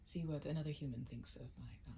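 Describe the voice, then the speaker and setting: A woman with a lowish, creaky voice speaks as a cozy storyteller addressing one listener. Her intonation is lively and her manner chatty and slightly self-conscious. Some steady noise sits behind her. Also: quick pace. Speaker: storyteller, host reading own short stories. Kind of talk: cozy roleplay chat to listener, then story read-aloud.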